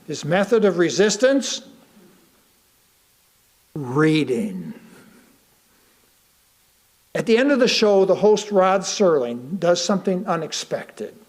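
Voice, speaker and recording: High-pitched American man reading a sermon slowly. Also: very animated. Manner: deliberate, with pauses for emphasis and rhetorical questions. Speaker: lay reader delivering a sermon. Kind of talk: sermon read aloud to a congregation.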